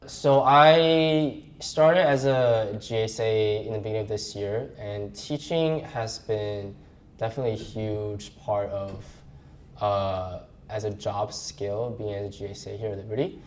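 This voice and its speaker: Earnest young man, low voice. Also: slight background noise. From Malaysia. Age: young adult. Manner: earnest, halting, reflective. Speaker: graduate student assistant. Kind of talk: personal interview answers.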